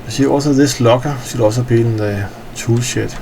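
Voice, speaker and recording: A man's voice: medium pitch, brisk, vocal fry, background noise. A tired man from Denmark with a Danish accent, rambling with hesitant fillers as he tours his in-game hangar. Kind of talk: casual game walkthrough commentary.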